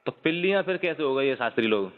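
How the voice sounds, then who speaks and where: A man speaks at a middling pitch in a phone dispute. He is persistent and reproachful, though his wording stays deferential. The recording is muffled and has a long, hollow reverb. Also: expressive, very fast. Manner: persistent, reproachful yet respectfully addressed. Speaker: caller. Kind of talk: phone argument.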